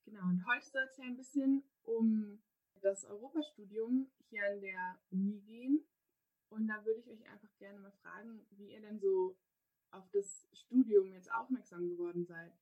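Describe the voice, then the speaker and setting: A woman's voice: mid-range voice, moderate pace, a little mellow. A young woman hosting a podcast, asking questions in a friendly, informal way over a video call. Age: young adult. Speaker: podcast host. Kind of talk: casual online interview. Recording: muffled, phone-like line.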